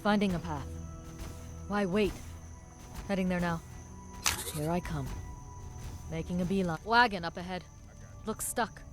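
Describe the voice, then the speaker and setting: An actress playing a tough, determined resistance commander in a strategy game, speaking in terse, commanding lines. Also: female voice, on the low side, a little slow, pauses often, music in the background, natural voice. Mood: brisk. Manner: terse, commanding, battle-ready. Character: tough, determined woman resistance commander in a post-apocalyptic war. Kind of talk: strategy game hero dialogue and unit barks.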